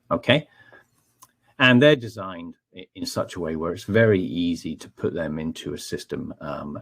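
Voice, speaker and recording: A man's voice: a bass voice, gravelly, a bit bright, slightly rough. A man with a Scottish accent giving a technical webinar, explaining step by step with frequent ums and checking in with "okay?". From Scotland. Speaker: technical trainer.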